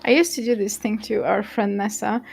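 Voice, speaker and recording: A woman's voice: mid-range voice, fairly quick, gravelly, husky, soft background music. A woman whose first language is Romanian, chatting casually on a stream and teasing her co-host with frequent laughter. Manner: giggly, teasing.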